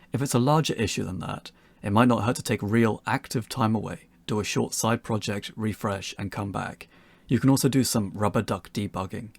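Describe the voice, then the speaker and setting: A man's voice: on the low side, gravelly, no echo. A man narrating a scripted tutorial in an articulate way with wry phrasing. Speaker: game developer giving a tutorial.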